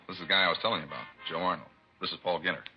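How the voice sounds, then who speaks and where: Actor as a fast, chummy low-voiced bartender. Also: male voice, fairly even intonation, close to an everyday voice. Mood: obliging. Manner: chummy, eager, informal. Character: chummy, fast-talking male bartender acting as go-between. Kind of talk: 1950s radio drama acting. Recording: dull old radio recording, music behind.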